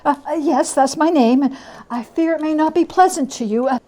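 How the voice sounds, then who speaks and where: A woman voicing a reformed old miser in a storybook reading, a stammering, effusive and polite old gentleman overflowing with eagerness. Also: high voice, brisk, pitched above the performer's own voice, close to an everyday voice. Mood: flustered, apologetic. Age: older.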